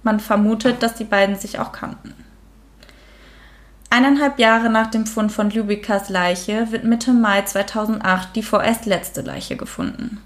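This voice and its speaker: A woman hosts a true crime podcast in a relaxed, chatty way, with frequent fillers. When she reads out the case, she switches to steadier storytelling. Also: mid-range voice, measured pace. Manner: casual, many fillers, reads case notes aloud. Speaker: podcast host.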